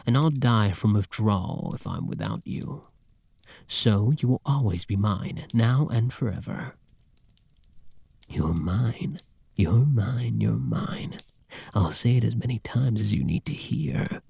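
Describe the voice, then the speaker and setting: A man's voice: mid-range voice, gravelly, slightly rough, no echo, studio quality, heavily acted. A man playing a possessive, doting, dominant master and boyfriend in an intimate, teasing ASMR roleplay. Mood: possessive, intense. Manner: teasing, soft, intimate, commanding, at times whispering.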